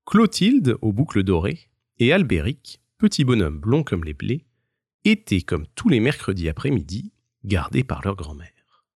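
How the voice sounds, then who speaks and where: Husky, very expressive male storyteller. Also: on the low side, a little slow, dry recording, clean studio sound. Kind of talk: scripted children's story narration.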